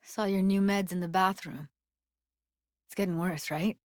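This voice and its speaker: A woman's voice: on the low side, steady tone, unhurried, no room sound, clean studio sound, lightly acted. A woman playing a guarded, blunt but tender young techie in a video game, speaking in a casual, clipped, streetwise way. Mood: concerned, guarded. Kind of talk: naturalistic video game voice acting.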